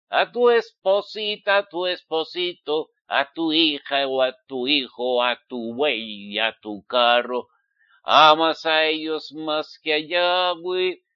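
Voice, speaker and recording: A man's voice: fairly high pitch, clear, resonant tone, dry recording, muffled recording. A man from Spain with a Spanish accent, preaching in an emphatic, admonishing way and putting rhetorical questions to his listeners. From Spain, Basque Country. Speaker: religious preacher. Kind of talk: fiery sermon addressed to listeners.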